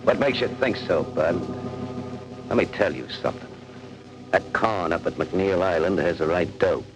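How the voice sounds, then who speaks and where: An actor playing a tough, bossy convict with slangy, ungrammatical talk in a 1940s radio crime drama, on an old recording. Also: male voice, deep voice, moderate pace, music in the background, natural voice, poor audio. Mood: scheming, confident. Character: tough, bossy male convict plotting prison break.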